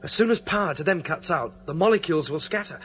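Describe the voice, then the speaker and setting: An actor playing a determined fugitive leader who explains things in a matter-of-fact, reasoning way, in a radio drama. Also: male voice, a little high, lively intonation, quick pace, a bit bright. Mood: guarded. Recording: dull radio drama recording, faint hiss.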